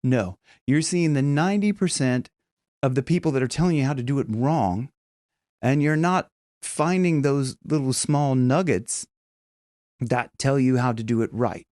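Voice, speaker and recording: A man's voice: medium pitch, normal speed, darkish tone, no echo, clean studio sound. A man teaching singing in a casual, chatty video lesson, talking emphatically and breaking off into short vocal demos.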